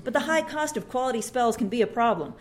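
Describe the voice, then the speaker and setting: A woman reading a pushy, chipper door-to-door saleswoman with brisk sales patter. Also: a little high, animated, lightly acted. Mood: salesy.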